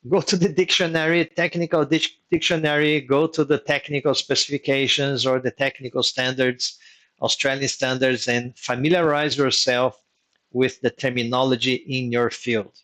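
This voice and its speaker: A man's voice: mid-range voice, little pitch movement, normal speed, a bit bright. A man whose first language is Portuguese, hosting a live online workshop as a mentor and explaining advice step by step, repeating key points. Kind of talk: live online teaching session.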